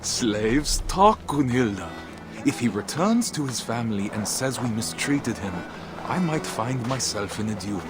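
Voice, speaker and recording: An actor playing a proud, earnest Viking trader and husband in a video game cutscene. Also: male voice, mid-range voice, animated, unhurried, lightly acted. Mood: easy, wry. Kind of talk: dramatic game cutscene acting. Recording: music behind the voice.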